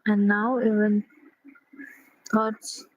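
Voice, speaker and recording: A woman leading a guided sleep meditation in a gentle, unhurried manner, pausing between short phrases. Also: medium pitch, level tone, no room sound, poor audio.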